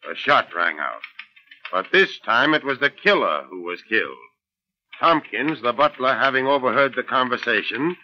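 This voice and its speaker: An actor playing a reassuring, authoritative police inspector with a deliberate manner in a 1940s radio drama. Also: male voice, medium pitch, sharp tone. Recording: muffled old radio recording.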